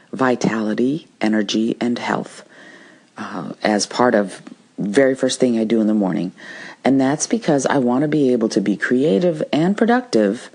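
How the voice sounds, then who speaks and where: Female podcast host, low husky voice, conversational and explanatory delivery. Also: darkish tone. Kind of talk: solo podcast talk with practical advice.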